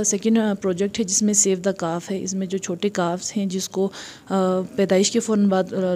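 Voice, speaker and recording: A woman's voice: medium pitch, mellow. A woman in her thirties with a Pakistani accent gives an informal awareness statement into a handheld mic, hesitating often and mixing in English terms. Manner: hesitant, with frequent filled pauses and English terms mixed in. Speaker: livestock department representative. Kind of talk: informal public-awareness interview statement to camera. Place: indoor event hall, in front of a banner. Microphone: handheld reporter microphone.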